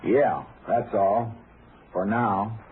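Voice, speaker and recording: An actor playing a steady, skeptical Texas Ranger in a 1950s radio crime western, speaking in a terse, dry deadpan. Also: male voice, lowish voice, drawn-out words, a little hiss or hum. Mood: dry, probing. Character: steady, skeptical male Texas Ranger lawman narrating his case. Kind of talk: 1950s radio crime western acting, clipped narration and dialogue. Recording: muffled old radio recording with music behind.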